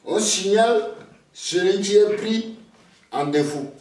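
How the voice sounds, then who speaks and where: An older Togolese man whose first language is Ewe, speaking slowly and hesitantly, often repeating words as he starts a thought. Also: high voice, darkish tone, far from the mic. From Togo. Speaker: interviewee, elder. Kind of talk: slow reflective storytelling. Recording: very echoey room, voice off-mic.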